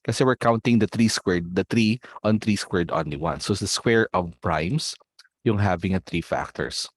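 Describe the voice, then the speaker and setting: A man's voice: low-pitched, normal speed, dry recording. Filipino man teaching math online. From Philippines. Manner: explains step by step, mixing in Tagalog words, checks in with students. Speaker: math olympiad trainer.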